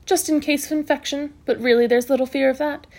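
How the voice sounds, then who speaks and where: A woman voicing a brisk, bossy, self-assured hospital matron in a storybook, scolding cheerfully in emphatic exclamations. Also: sits high, steady tone, darkish tone, pitched above the performer's own voice. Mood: brisk, satisfied.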